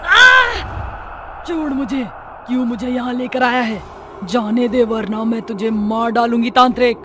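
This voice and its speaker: A woman's voice: on the high side, lively intonation, a little slow, sharp tone, a little hiss or hum. A woman voicing a vengeful witch ghost in a cartoon story, with a dramatic, threatening manner. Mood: furious, threatening.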